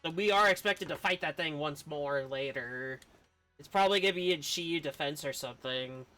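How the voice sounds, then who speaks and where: A woman streams a game with casual commentary in a bright, forward voice. Game music sits behind her as she talks. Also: sits low, normal speed.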